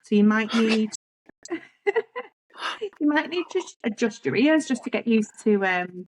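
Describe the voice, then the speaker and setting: A woman's voice: a little high, animated, normal speed, poor audio. A woman with a Yorkshire accent hosting a podcast interview, chatting easily and laughing often. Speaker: podcast host. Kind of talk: friendly interview.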